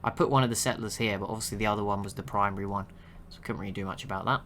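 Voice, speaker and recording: A young man giving relaxed, chatty commentary over a strategy game he is watching, laughing to himself now and then. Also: lowish voice, rapid-fire, a little hiss or hum. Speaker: gaming channel host.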